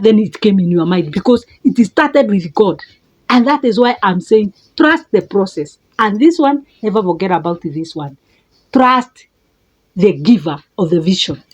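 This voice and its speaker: A middle-aged Kenyan woman with a Kenyan accent, giving an emphatic motivational talk as a life coach, repeating words and leaping up in pitch for stress. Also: slightly rough, lo-fi sound. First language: a Kenyan mother tongue.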